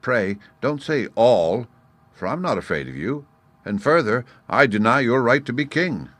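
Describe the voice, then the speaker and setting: A man's voice: mid-range voice, lively intonation, a little slow, fairly bright tone, dry recording, voice pushed up. A man voicing a tiny, cocky male gnat who talks with disdain and cheek. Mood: disdainful.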